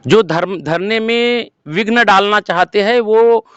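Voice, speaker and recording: A man from Madhya Pradesh, answering an interviewer earnestly in a halting, deliberate way and pressing points with rhetorical questions. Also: high-pitched, fairly bright tone, clear, resonant tone, dry recording. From Madhya Pradesh, India. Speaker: protest participant being interviewed. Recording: dull lo-fi close recording.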